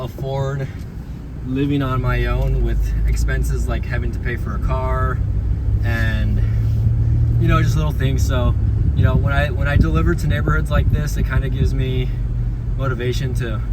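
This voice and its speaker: A man in his thirties rambles to his viewers from behind the wheel, full of "you know" and restarts. His voice sits at an ordinary mid pitch. The car's road noise is loud under everything. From South Texas. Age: 30s. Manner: rambling, many fillers and restarts. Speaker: delivery driver vlogger. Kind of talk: casual vlog talk while driving. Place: inside a car on suburban streets.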